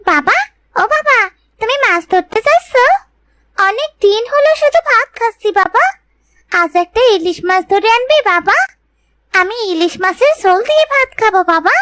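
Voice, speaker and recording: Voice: very high-pitched, animated, normal speed, sharp tone, no room sound, heavily acted. A performer voicing a little girl in a cartoon, wheedling and insistent with her father. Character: little daughter, pleading and insistent, craving fish. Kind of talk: Bengali cartoon child acting.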